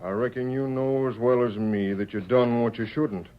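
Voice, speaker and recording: A man's voice: mid-range voice, moderate pace, lightly acted. Guy doing stubborn old west dad, folksy rural talk, muffled old radio drama. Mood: rueful. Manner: folksy drawling rural Western diction. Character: stubborn, hot-tempered honest frontier father, ex-sheriff. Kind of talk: 1940s radio western acting.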